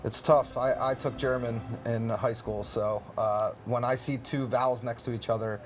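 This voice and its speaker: A man hosting a relaxed gameplay chat, easygoing and quick to laugh, stumbling over repeated words. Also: medium pitch, a little hiss or hum. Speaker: host, community manager.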